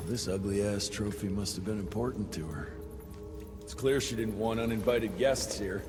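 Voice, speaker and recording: A man voicing a gruff, sarcastic frontier field agent in a video game cutscene. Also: fairly low pitch, moderate pace, natural voice. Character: gruff male frontier monster hunter, blunt. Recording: game cutscene with loud music behind.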